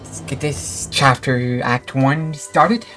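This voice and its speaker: Very low-voiced woman streaming a game. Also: takes it slowly, fairly bright tone. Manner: low-key, offhand remarks. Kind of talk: casual gameplay commentary. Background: game music.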